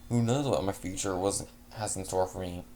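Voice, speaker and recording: A man reading a fanfiction story aloud in a halting way, restarting words, then chatting casually to listeners. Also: low voice, mellow, a little hiss or hum. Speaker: fanfiction reader.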